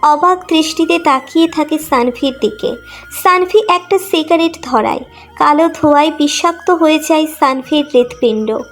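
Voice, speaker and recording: A woman's voice: high-pitched, normal speed, faint music behind. A woman reading a scripted emotional romantic story as its narrator.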